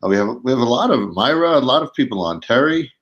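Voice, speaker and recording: A man's voice: mid-range voice, sing-song, fast, muffled recording. A man co-hosting from a remote location, easygoing and supportive as he reads out who has joined. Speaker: co-host. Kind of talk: remote call-in chat.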